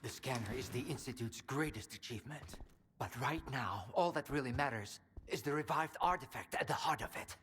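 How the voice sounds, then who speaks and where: An actor playing an earnest, grandiose scientist in a video game, speaking in lofty, pleading phrases. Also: male voice, mid-range voice, animated, brisk, a bit bright, long reverb tail, a little hiss or hum, natural voice. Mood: solemn, grandiose. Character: grandiose, anxious male scientist, visionary head of an institute.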